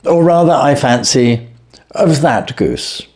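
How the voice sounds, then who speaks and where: A man voicing a shrewd, calm gentleman detective who speaks blandly and with sweet irony. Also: medium pitch, slow pace, voice pushed up. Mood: cheery. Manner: bland, sweetly ironic, assured.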